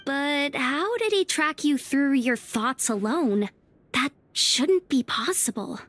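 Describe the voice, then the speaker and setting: An actress voicing a small, childlike, inquisitive companion creature in a video game. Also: female voice, high-pitched, lively intonation, slow, fairly bright tone, soft background music, full character voice. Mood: puzzled. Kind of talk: scripted game voice acting.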